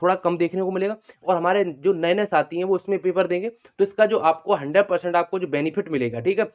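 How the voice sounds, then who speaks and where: A man's voice: sits high, quick pace, phone-quality sound. A man giving an informal, unscripted exam update to aspirants, explaining repetitively with frequent check-in tags. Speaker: exam-news video host, coaching aspirants.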